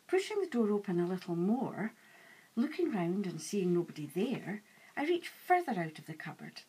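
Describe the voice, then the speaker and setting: Husky woman reading a novel, very expressive. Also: medium pitch, normal speed, poor audio. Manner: animated, dramatic storytelling delivery. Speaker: author reading own novel aloud. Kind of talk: expressive audiobook-style first-person narration.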